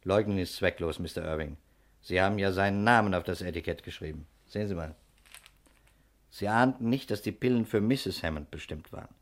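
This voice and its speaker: An actor playing a shrewd, authoritative investigator in a 1960s German radio crime drama, speaking briskly and methodically. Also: male voice, sits low, lightly acted. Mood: accusing, relentless. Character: shrewd, commanding male private investigator, methodically accusing.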